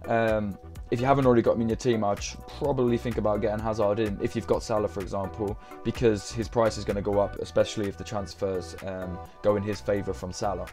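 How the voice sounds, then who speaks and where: A young man, chatting casually on a live stream, slightly croaky from a sore throat, hesitating often with ums and uhs. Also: fairly low pitch, level tone, fast talker, hardly any pauses, darkish tone, faint music behind. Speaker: fantasy football streamer.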